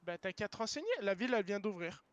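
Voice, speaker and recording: A man's voice: high-pitched, quick pace, dull audio. A man arguing bluntly and in exasperation in online game voice chat, as the server's founder. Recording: clearly noisy game voice chat.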